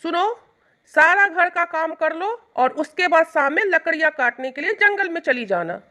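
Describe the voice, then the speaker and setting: Woman playing a nagging cruel mother-in-law, middle-aged, very high voice, bright and forceful, storybook villain. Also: lively intonation, normal speed, full character voice. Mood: bossy, harsh. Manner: shrill, nagging, accusing. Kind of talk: Hindi moral storybook acting.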